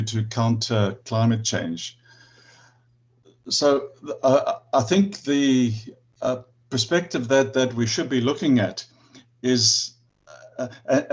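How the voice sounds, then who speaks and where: Slow, halting South African man. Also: medium pitch, darkish tone, lo-fi sound. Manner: halting, many restarts and stammers. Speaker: panel speaker. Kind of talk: online panel discussion.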